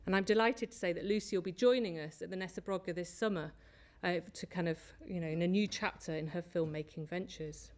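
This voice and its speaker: A woman presenting at an academic conference, reading from prepared notes in a measured way with small slips. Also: on the low side, steady tone. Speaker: conference speaker, lecturer. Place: lecture hall.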